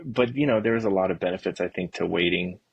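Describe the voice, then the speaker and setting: A man's voice: sits low, quick pace, creaky, husky, dry recording, muffled recording. A man in his early forties talking earnestly and reflectively in a casual conversation, as a guest. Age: early 40s. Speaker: podcast guest, poker player.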